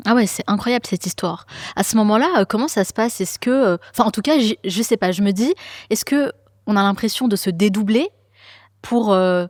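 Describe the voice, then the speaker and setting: Host, woman, mid-pitched, inquisitive and hesitant, close dry studio sound. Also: fairly quick, darkish tone, no room sound. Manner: curious, prompting questions with fillers. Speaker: podcast host, interviewer. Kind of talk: conversational interview.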